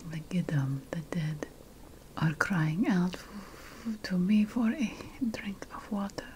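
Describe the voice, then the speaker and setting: Ancient sorceress played by a woman, ASMR fantasy: low breathy whisper, deliberate and sly, faint noise behind. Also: a little mellow. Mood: dreamy, eerie. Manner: slow, deliberate, with dramatic pauses. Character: ancient sorceress apothecary, musing, slyly ominous, instructing her apprentice.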